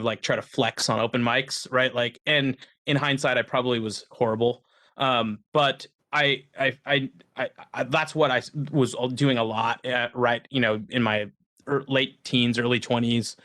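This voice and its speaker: A man's voice: medium pitch, steady tone, normal speed, bright, forceful tone. A man in his thirties, a musician guest, telling rambling, self-deprecating stories in a casual conversation.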